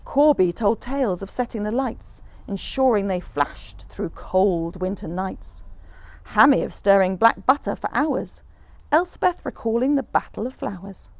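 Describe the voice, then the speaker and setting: A woman reading a rhyming children's picture book aloud in an expressive, rhythmic storyteller's manner. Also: medium pitch, a little slow, no room sound. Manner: animated, rhythmic rhyming delivery.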